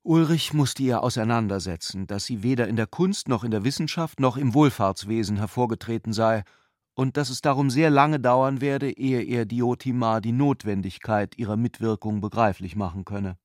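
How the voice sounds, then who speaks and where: A man's voice: mid-range voice, normal speed, darkish tone, no echo. Man narrating literary fiction, dry studio sound. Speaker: narrator of a radio literary adaptation. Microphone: close studio mic, dry.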